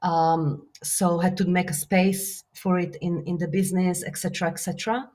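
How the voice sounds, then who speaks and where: A woman from Slovakia with a Slovak accent, talking in an interview as a guest about business and books. She speaks earnestly and reflectively, with many fillers and soft laughs. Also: lowish voice, flat delivery, moderate pace. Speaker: guest, business author and coach. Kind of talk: casual interview talk.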